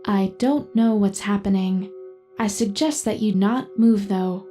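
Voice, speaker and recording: A composed female AI companion, played by a woman, narrates an audio drama. The voice is soft-edged and mellow, with music playing behind it. Every sentence is formal, precise and measured, as if delivering an analysis. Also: medium pitch, close to an everyday voice. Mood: calm, analytical. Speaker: narrator and in-character host.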